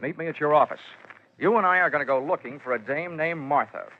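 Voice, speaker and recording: An actor playing a hard-boiled, wisecracking male insurance investigator in 1940s radio noir, brisk and sardonic. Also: a little high, close to an everyday voice. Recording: dull vintage 1949 radio recording.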